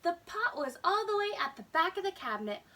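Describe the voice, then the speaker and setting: A woman reads a children's story as a storytime host. Her voice is high and bright with very animated intonation, recorded slightly away from the microphone. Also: brisk, recorded from a distance.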